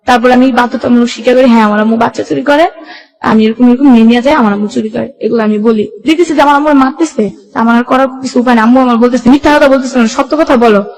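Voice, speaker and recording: A woman's voice: fairly high pitch, low-quality recording. A young woman with a Bangladeshi Bengali accent tells her life story as a guest, in colloquial run-on sentences with reported dialogue. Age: young adult. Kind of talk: personal life-story narration.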